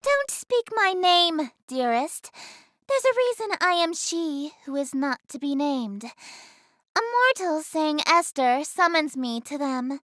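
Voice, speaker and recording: A woman's voice: sits high, expressive, slow, a bit bright, no room sound, exaggerated voice. A woman voicing a haughty, easily flustered eldritch goddess in an anime-style game, speaking in a theatrical, formal, imperious way. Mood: smug, teasing. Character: haughty, easily flustered regal eldritch goddess, cute young-sounding female. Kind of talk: anime-style visual novel game voice acting.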